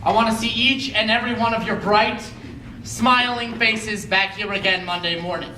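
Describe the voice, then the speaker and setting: A high, bright-voiced school principal, acted by a young man for readers theater. He is earnest and protective, speaking with dramatic emphasis. The sound is distant and roomy, as if from a stage. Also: steady tone, normal speed. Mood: rallying. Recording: roomy live stage, distant mic, faint noise.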